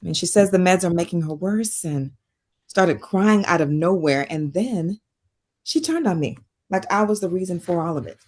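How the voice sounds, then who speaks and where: Lowish-voiced actress as a composed woman therapist, weary yet caring, measured and firm, naturalistic drama read. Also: expressive. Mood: troubled, reflective. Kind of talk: naturalistic table read acting.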